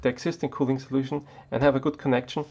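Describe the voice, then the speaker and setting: A man's voice: mid-range voice, flat delivery, very fast, darkish tone. A man from Austria, not an English speaker, talking unscripted to the camera as a tech YouTuber, hesitant with many restarts.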